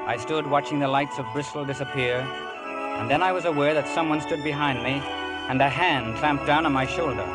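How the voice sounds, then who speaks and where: An actor playing an earnest narrator who recalls a boyhood sea adventure, in measured, wistful 1950s radio drama style. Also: male voice, medium pitch, lightly acted, poor audio. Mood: atmospheric. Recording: vintage radio, loud music underneath.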